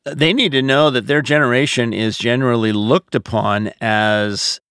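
A man's voice: mid-range voice, moderate pace, a bit bright, smooth, no room sound, clean studio sound. A middle-aged or older man, a pastor, giving conversational advice on a podcast. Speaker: pastor answering listener questions.

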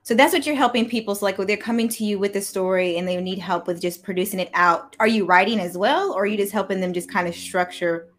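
A woman's voice: medium pitch, quick pace, few pauses. A woman with an American accent interviewing as a podcast host in a casual, informal chat.

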